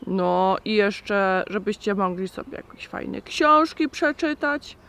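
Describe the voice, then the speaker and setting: A woman's voice: medium pitch, expressive, takes it slowly, fairly bright tone, clear, resonant tone. A woman in her thirties presenting a children's puppet show outdoors, talking simply and directly to the children. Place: outdoors in a garden.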